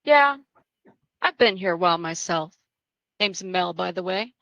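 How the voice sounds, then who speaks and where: A woman's voice: fairly low pitch, expressive, a little slow, creaky, a bit bright, dry recording, highs cut off, full character voice. A woman acting a talkative, teasing young psychiatric patient in a screenplay table read. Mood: breezy, teasing. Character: chatty, flippant young female psychiatric patient.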